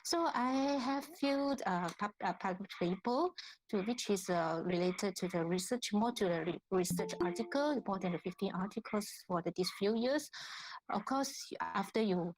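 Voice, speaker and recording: Woman, Malaysian accent, academic lecturer, mid-range pitch, halting delivery with repeats and rephrasing. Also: a little hiss or hum, poor audio. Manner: halting, repeats and rephrases herself, tags with "right?". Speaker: academic researcher, guest lecturer. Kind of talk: online workshop lecture.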